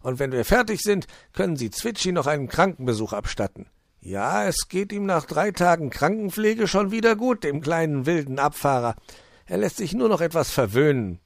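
A cheerful, hospitable fox who loves to cook, with a bright, high voice far above the reader's own. His lines bounce up and down with polite, friendly warmth. The sound is dry and close. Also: expressive, normal speed, vocal fry, dry recording. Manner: warm, cheerful, politely formal. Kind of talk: storybook character voice.

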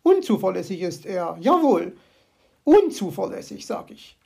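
A woman voicing an excitable, fussy female magpie in a storybook, with shrill, agitated exclamations and a creaky, husky voice. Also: unhurried, heavily acted. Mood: indignant. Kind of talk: storybook character voice.

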